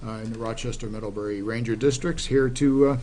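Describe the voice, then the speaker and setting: A man runs a town board meeting in a relaxed, procedural manner, calling votes and introducing a guest with a few fillers. His pitch sits mid-range, and faint room noise hangs under his voice. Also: quick pace. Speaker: meeting chair. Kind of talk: chairing a public meeting.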